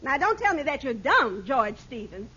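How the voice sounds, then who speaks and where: Exasperated wife, high and very animated, sitcom scolding, noisy vintage recording. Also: female voice, moderate pace, highs cut off, full character voice. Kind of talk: mid-century radio sitcom acting.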